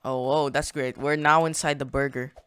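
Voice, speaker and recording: Young man chatting over gameplay, smooth voice. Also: medium pitch, flat delivery, normal speed, fairly bright tone. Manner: chatty, thinking aloud, slangy. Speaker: gaming channel host. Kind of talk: casual gameplay commentary.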